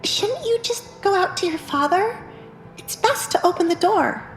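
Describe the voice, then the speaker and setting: A woman's voice: extremely high pitch, animated, normal speed, roomy sound, soft background music. A woman voicing a timid, worried young maid with a fretful, pleading manner in an audio drama. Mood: anxious, pleading.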